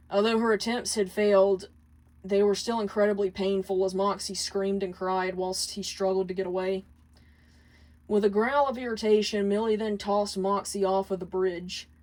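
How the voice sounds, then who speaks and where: A woman reading fan fiction aloud as a dramatic, deliberate story narration. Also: medium pitch, darkish tone, low-quality recording.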